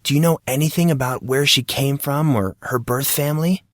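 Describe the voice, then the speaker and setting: A man's voice: medium pitch, moderate pace, no echo, studio quality, voice pushed up, lightly acted. A man voicing an earnest, defensive teenage boy with a hesitant manner.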